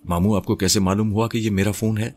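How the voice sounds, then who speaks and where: A man's voice: sits low, very animated, faint music behind. A man voicing a timid, polite husband in an audio story, with a hesitant, nervous manner. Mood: curious. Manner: hesitant, stammering, deferential.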